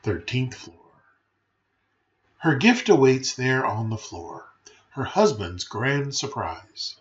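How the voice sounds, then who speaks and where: A man's voice: mid-range voice, big pitch swings, takes it slowly, muffled recording. A man reading a children's rhyming picture book aloud in a theatrical, playful, emphatic way with brief chatty asides.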